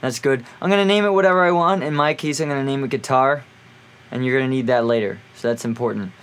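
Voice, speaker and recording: A man's voice: mid-range voice, quick pace, a bit bright. A man presenting an informal music gear tutorial, explaining in an offhand way as he thinks aloud.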